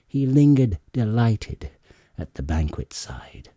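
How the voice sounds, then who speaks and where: Slow, deep, husky male narrator. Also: sing-song, mellow, no room sound, studio quality. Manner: measured, dramatic phrasing. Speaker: audiobook narrator. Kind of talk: solemn epic poetry reading.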